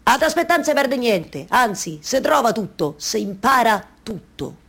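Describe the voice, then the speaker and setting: An actress playing a stubbornly hopeful waiting wife in a theatrical monologue, with a colloquial Roman accent and insistent repeated phrases. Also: female voice, on the high side, very animated, a little slow, fairly bright tone, husky, full character voice. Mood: wistful, resigned. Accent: central Italian, Roman. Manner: incantatory, repeating phrases over and over.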